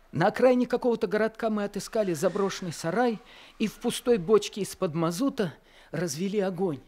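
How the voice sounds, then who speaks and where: A man playing a feverish, exhausted young man who narrates his story in a radio play, earnest and quiet. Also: high voice. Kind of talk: radio drama narration and dialogue.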